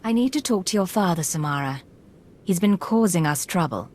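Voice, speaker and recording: A woman's voice: fairly low pitch, lively intonation, moderate pace, darkish tone. Cool, controlled secret agent woman. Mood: cool, firm. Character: cool, self-assured female imperial secret agent. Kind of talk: video game voice acting.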